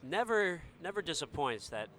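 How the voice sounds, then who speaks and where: A man giving conversational color commentary on a live basketball game, with a halting delivery. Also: on the high side, very animated, sharp tone. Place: indoor basketball gym during game. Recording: noisy gym with bouncing balls.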